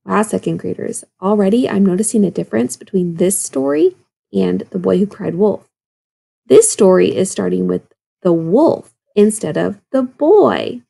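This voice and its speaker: A woman with a mellow voice and noticeable vocal fry. She speaks as a primary teacher, explaining a read-aloud lesson directly to young pupils. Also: mid-range voice, lively intonation, normal speed. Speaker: second-grade teacher.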